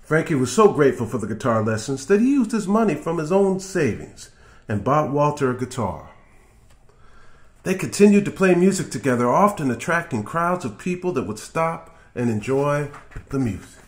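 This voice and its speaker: A middle-aged man narrates a children's storybook. His voice sits slightly high for a man and moves with very lively intonation, expressive from phrase to phrase. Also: normal speed. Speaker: musician reading a children's book. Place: small indoor room with guitars on the wall.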